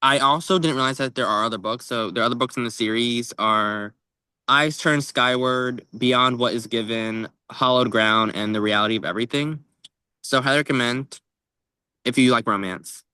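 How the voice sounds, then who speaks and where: Bright-voiced man chatting casually about books. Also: mid-range voice, normal speed, clear, resonant tone, no room sound. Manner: informal, tentative phrasing with frequent "like". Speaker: co-host of a book podcast.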